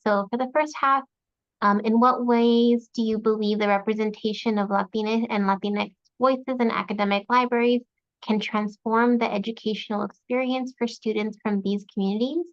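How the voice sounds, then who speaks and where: A woman's voice: a little high, fairly even intonation, no echo. Woman moderator, dull dry sound. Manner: brisk, reads questions aloud. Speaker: event moderator. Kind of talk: moderating audience questions.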